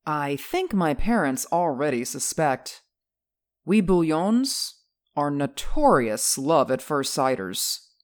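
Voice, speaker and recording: A man voicing an affectionate young woman in an audiobook, raising his voice high and drawing out her words. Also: very animated, slow. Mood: fond. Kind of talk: audiobook character voice.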